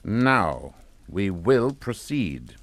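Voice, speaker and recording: A man's voice: deep voice, sing-song, extremely slow, fairly bright tone, full character voice. An actor playing a pompous, domineering middle-aged English father in a radio suspense drama, scolding and emphatic. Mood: commanding.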